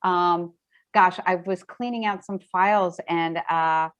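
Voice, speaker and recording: A woman fielding questions and explaining things in a halting, hesitant way, over dull meeting audio. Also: fairly low pitch, fairly even intonation, fairly bright tone, clean tone. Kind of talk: explanatory question-and-answer talk.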